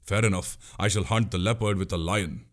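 A man's voice: low-pitched, fairly even intonation, fairly quick, close to an everyday voice. A man playing a bold, commanding young prince in a historical tale, with formal, resolute speech. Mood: decisive. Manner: formal, resolute, archaic wording.

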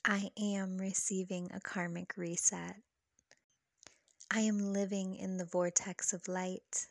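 A woman leading a scripted guided meditation with affirmations, speaking in a soft, breathy, soothing and measured way. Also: mid-range voice, level tone, no room sound, clean studio sound. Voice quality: soft, breathy, partly whispery. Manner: soothing, slow, measured delivery.

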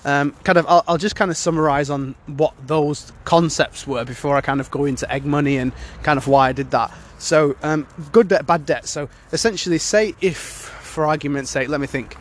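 A man's voice: fairly high pitch, steady tone, moderate pace, hardly any pauses, slight background noise. A man hosting a casual solo podcast, sounding congested from a cold and rambling with many fillers and restarts. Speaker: podcast host.

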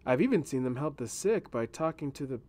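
Gentle patient castle servant read by a male narrator for an audiobook; coaxing, lively intonation. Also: mid-range voice, brisk. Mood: reassuring. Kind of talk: audiobook character voice.

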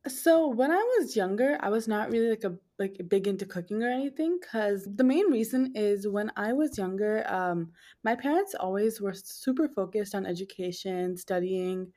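Podcast guest, female, twenties, nasal mid-pitched voice, casual and conversational delivery. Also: lively intonation, moderate pace, mellow. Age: 20s. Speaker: podcast guest, food blogger.

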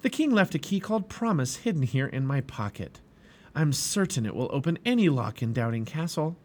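A man doing an earnest, high young boy. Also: very animated, brisk, darkish tone. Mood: excited.

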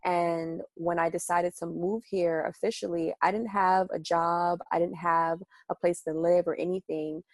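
A young woman with a low-pitched voice tells her story in a relaxed, chatty interview. She goes into a lot of detail, with frequent ums and small laughs. The sound is dry and close. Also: normal speed, few pauses, no echo. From United States, Washington DC and Maryland area. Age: young adult. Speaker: radio show host and guest.

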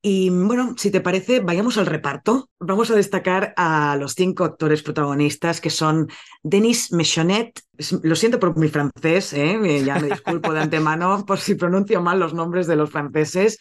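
A man's voice: high-pitched, normal speed. A man hosting a casual film podcast, chatty and correcting his own slips as he goes. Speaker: podcast host presenting a film.

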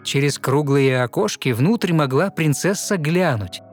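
Fairy-tale narrator, man, lighter higher register, expressive rhyming recitation over a music bed. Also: unhurried. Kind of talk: scripted storytelling of a fairy tale in verse. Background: music behind the voice.

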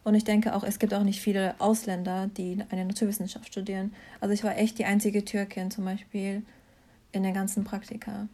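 Young woman with an even, steady pitch. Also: brisk. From Mannheim, Germany. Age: young adult. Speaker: interview guest, science student. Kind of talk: casual conversational interview, personal account.

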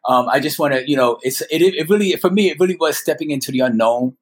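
A man in his fifties telling personal stories as a podcast guest, speaking earnestly and haltingly, repeating words for emphasis. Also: on the high side. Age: 50s. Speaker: guest storyteller. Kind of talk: personal storytelling in a conversational interview.

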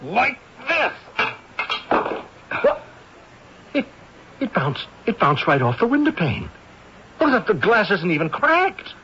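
A man's voice: high-pitched, very animated, takes it slowly, pauses often, pushed and bright, exaggerated voice. An actor playing a flustered, eager film cameraman with a stammering, folksy manner in an old-time radio drama. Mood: bewildered, alarmed. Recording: dull, faintly noisy radio drama recording.

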